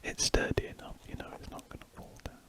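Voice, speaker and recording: A man whispering softly and rambling a little as he chats while drawing for an ASMR video, close to the mic indoors. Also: fast talker. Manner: soft rambling whisper with self-corrections. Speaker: ASMR drawing channel host.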